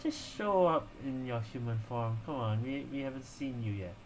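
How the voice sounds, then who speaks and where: A man casually commenting as a gamer on a story playthrough, halting and thinking aloud. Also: medium pitch, lively intonation, a little hiss or hum, rough recording. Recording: loud game music behind voice. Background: game music and dialogue.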